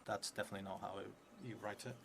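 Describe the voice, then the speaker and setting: Guy who's not a native english speaker doing solo rpg stuff, lowish voice, mumbles to himself, pretty noisy audio. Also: flat delivery. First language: not English; English is a third language. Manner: halting, muttering to himself while writing, clearer when reading aloud. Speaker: solo tabletop roleplay streamer. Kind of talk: solo game play, reading prompts and thinking aloud. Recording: clearly noisy stream audio.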